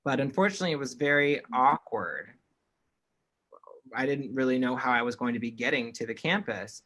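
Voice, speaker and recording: A man's voice: medium pitch, lively intonation, moderate pace, pushed and bright, low-quality recording. A man working as a sign language interpreter, voicing panelists' signed remarks in the first person over an online call, with frequent restarts.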